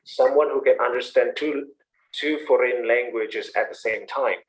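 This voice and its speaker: A man's voice: mid-range voice, moderate pace, poor audio. A man with an Indonesian accent, an English instructor explaining and encouraging students in an online class. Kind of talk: answering questions in an online class. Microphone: online video call.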